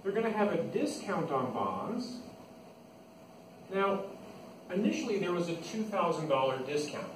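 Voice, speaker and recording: A man's voice: a little high, very animated, a little slow, pauses often. A man giving an informal classroom lecture as an instructor, explaining step by step and restating key points. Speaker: accounting instructor. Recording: echoey, noisy room, distant microphone.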